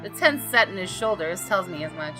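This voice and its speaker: A low-pitched woman voicing a haughty, menacing homeowner narrates a visual novel. The delivery is brooding and arrogant, like private thoughts read out dramatically. Music plays clearly behind the voice. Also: animated, fairly quick, close to an everyday voice, low-quality recording. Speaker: gaming video host.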